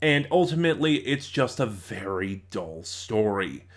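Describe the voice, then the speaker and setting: Man giving an emphatic, halting review. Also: on the low side, big pitch swings. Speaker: audio drama reviewer. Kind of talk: informal spoken review.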